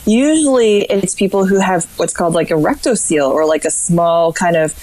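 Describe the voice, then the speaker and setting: Low-voiced woman surgeon, casual. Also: moderate pace, dry recording, low-quality recording. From Hong Kong. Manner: relaxed, explains plainly with many fillers. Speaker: guest surgeon. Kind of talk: casual podcast chat.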